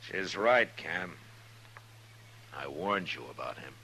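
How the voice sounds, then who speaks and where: An actor playing a calm, weary, plain-spoken frontier lawman in a 1950s radio western. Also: sits low, slow, creaky, heavily acted. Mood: firm warning. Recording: noisy old 1950s radio recording.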